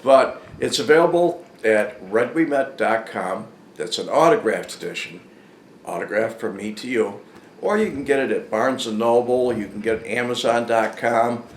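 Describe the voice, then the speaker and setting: An older man telling a casual, unscripted story in a halting, rambling, plain-spoken way. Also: mid-range voice, lively intonation. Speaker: storyteller, former informant hosting his own channel. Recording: echoey room with faint background noise.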